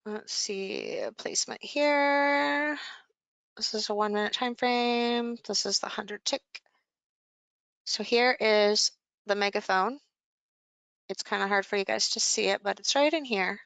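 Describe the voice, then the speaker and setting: A woman's voice: medium pitch, unhurried, gravelly, fairly bright tone, smooth, no room sound. A woman coaching a live session, explaining things informally and conversationally as she thinks aloud over charts.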